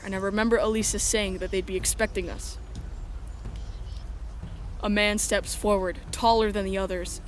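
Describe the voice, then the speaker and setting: A woman's voice: mid-range voice, a little hiss or hum. A woman reading scripted first-person story narration as the narrator of a drama.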